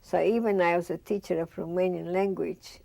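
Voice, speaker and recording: Older woman, Russian accent, low gravelly voice, storytelling interview, dry close sound. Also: fairly even intonation, moderate pace, warm tone, no room sound. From Bessarabia. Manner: reflective storytelling, acts out remembered dialogue. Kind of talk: oral history interview. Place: studio with dark curtain backdrop, seated.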